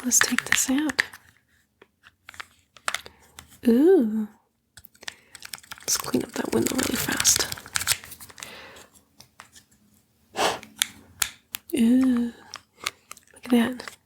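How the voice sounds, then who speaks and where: A woman playing a coaxing, condescending female demon in a breathy, whispered ASMR roleplay. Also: fairly high pitch, slow, noisy background. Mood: coaxing, soothing. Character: female demon, a fallen angel, coaxing and vain tempter.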